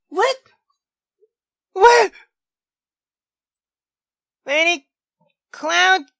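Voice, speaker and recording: A woman reading a visual novel aloud as a let's player, with casual, expressive, foul-mouthed reactions. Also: very high voice, no echo, studio quality. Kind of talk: casual reaction commentary and read-aloud narration.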